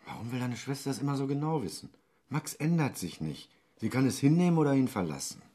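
A man's voice: mid-range voice, big pitch swings, fast, mellow, natural voice. A man acting an irritated husband in a German radio drama, giving curt, dismissive answers. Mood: curt irritation.

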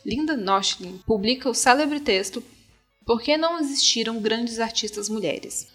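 A woman's voice: fairly high pitch, fairly bright tone. A woman with a Brazilian accent presenting a podcast in scripted narration, articulate and opinionated.

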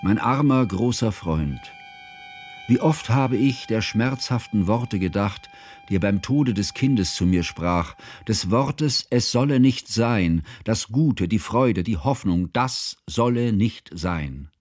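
An actor playing a grieving, learned older man who narrates a literary radio drama in solemn, measured, ornate phrases about his friend. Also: on the low side, animated, a little mellow, a little hiss or hum. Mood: mournful.

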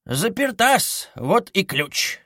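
Sly police investigator for an audiobook, pitched up high, teasing, coaxing and giggly, close dry sound. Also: male voice, expressive, moderate pace, sharp tone, dry recording, heavily acted. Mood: playful, gloating. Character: wily, mocking, playfully cheerful male police investigator. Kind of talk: dramatized audiobook reading.

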